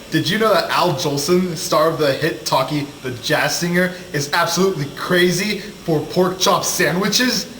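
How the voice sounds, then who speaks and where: A young-sounding guy as higher-pitched chatty 1920s newscaster. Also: a bit bright, close to an everyday voice. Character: chatty, gossipy 1920s newscaster, amazed by his times. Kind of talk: mock 1920s news broadcast, amateur. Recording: roomy echo, faint noise.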